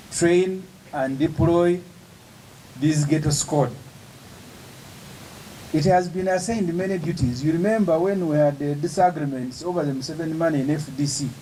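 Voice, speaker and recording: A man, an opposition politician giving an emphatic, measured press statement with pauses between phrases. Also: fairly high pitch, warm tone, slight background noise, low-quality recording.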